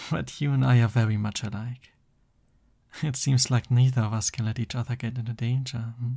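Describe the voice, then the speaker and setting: Male actor as smitten supervillain boyfriend: slow, breathy, bashful, intimate ASMR delivery. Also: medium pitch. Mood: tender, doting. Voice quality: soft, breathy. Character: lovestruck, flustered supervillain boyfriend, soft and doting.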